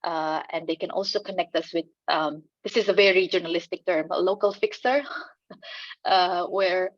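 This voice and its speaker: A woman with an Indonesian accent, answering on a conference panel in a halting way, with many fillers and restarts and light laughs. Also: fairly low pitch, fairly even intonation, no room sound, lo-fi sound.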